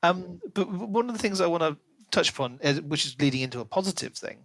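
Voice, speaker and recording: A man with a slightly higher-pitched voice talks casually as a podcast host. He reviews in an animated, conversational way, slipping into asides. The sound is dry, with very little room to it. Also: quick pace, dry recording. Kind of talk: casual book review discussion.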